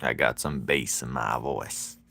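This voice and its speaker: A man chatting casually with his viewers while he draws, playful and quick to laugh as he banters with them. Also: rumbling low, steady tone, vocal fry, bright, forceful tone. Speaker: streaming artist. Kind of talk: casual chat while drawing.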